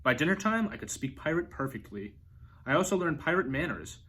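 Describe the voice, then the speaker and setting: A man reading a children's storybook aloud as an expressive narrator. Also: on the high side, fairly quick, slightly rough. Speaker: storybook reader.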